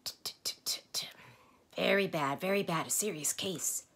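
A woman voicing a hoarse, croaking male doctor of noise who pesters visitors with insistent questions. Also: lowish voice, slow, a bit bright, heavily acted. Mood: gleeful, insistent. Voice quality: raspy, croaking.

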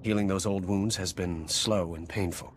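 Lowish-voiced courteous political liaison played by a man. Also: steady tone, moderate pace, slight background noise, close to an everyday voice. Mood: earnest. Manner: formal, polished, deferential. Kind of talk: video game cutscene acting. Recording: faint background ambience.

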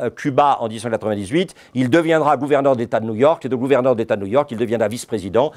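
A middle-aged man from France giving a lecture on history, digressive and ironic, dropping frequent asides to his audience. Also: a little high. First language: French. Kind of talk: university-style history lecture. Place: lecture hall.

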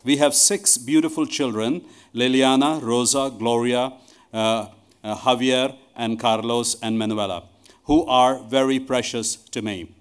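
A man's voice: mid-range voice, fairly even intonation, a little slow, clear, resonant tone. A middle-aged man speaking to a congregation from a church lectern microphone. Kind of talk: addressing a congregation, reading a testimony aloud. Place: large church hall, indoors.

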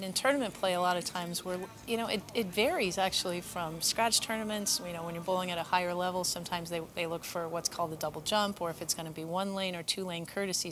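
A middle-aged woman coach with a low voice talks through bowling etiquette. She is relaxed and conversational, and she draws on her own time competing. A faint hiss sits under her voice. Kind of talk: instructional chat. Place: bowling center, seated at a table.

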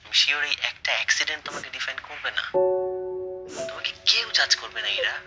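A man's voice: fairly high pitch, quick pace, over music, natural voice. A young man with a Bangladeshi accent acting an earnest, supportive college friend who speaks persuasively in a short-film drama, mixing in English. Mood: pleading, earnest. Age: young adult. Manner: persuasive, mixes English into speech.

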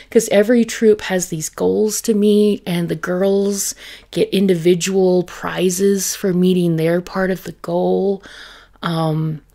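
A woman in her thirties from the United States, chatting softly in an ASMR grocery haul in her home kitchen. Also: mid-range voice, vocal fry. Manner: soft-spoken, chatty, laughs often. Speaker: ASMR creator.

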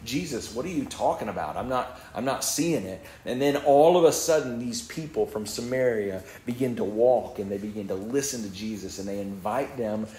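A male pastor preaching a sermon in a calm, conversational way, self-deprecating and often repeating words. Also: medium pitch, moderate pace, hardly any pauses, reverberant. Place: church sanctuary.

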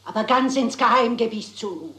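An actress playing an eccentric, unflappable elderly spinster sleuth in a German crime radio play, genteel, deliberate and theatrical in delivery. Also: female voice, a little high, expressive, sharp tone, reverberant. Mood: dramatic reciting. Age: older.

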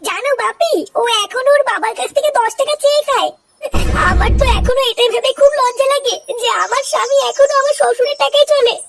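Casting: complaining young wife played by an actor, extremely high and quick, cartoon comedy. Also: vocal fry. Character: complaining, ashamed young wife tattling to her father.

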